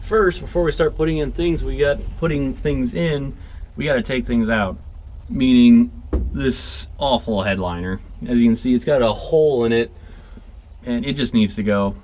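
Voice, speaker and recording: A man's voice: medium pitch, normal speed, a little mellow, slight background noise. A man casually narrating a hands-on vehicle project, thinking aloud as he works in an informal way. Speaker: DIY vehicle restoration vlogger.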